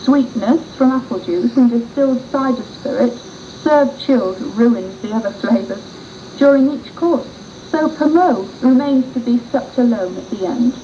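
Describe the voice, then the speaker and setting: A woman's voice: a little high, moderate pace. A woman reading a scripted first-person historical story for radio in a formal, literary manner. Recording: muffled 1980s radio tape with hiss.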